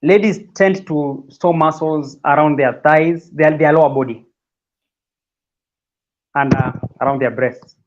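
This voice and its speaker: A man's voice: on the high side, a little slow, pauses often, dull audio. A man from Kenya, a guest on a fitness livestream, talking casually and often repeating words as he explains.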